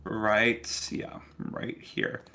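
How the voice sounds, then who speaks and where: A man narrating a tabletop game casually as game master, halting and thinking aloud as he goes. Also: sharp tone.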